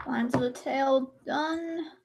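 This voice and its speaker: High-voiced young woman rambling on stream. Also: steady tone, slow pace, vocal fry. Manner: rambling, self-correcting, chatty. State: voice gone high and strained. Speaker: livestreamer. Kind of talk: casual chat while drawing.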